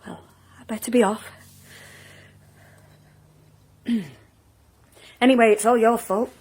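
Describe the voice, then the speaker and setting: A woman's voice: a little high, level tone, normal speed, a little mellow, breathy edge. An actress playing an older, plain-spoken woman in a naturalistic audio drama, wistful and wry, sometimes hesitant. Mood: determined.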